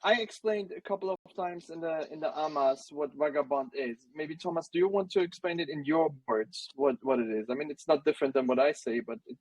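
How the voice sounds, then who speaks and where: A man hosting an online AMA, talking in a joking, relaxed way. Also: on the high side, brisk, few pauses, no room sound, rough recording. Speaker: host. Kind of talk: casual hosting chat.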